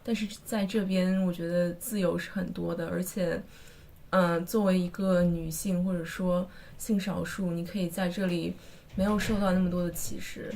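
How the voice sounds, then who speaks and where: A young woman from China talks with a gravelly, creaky edge to a fairly low voice. She speaks slowly and considers her words. Faint noise hums under the recording. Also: little pitch movement, a little mellow. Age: young adult. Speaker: international student. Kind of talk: interview testimony.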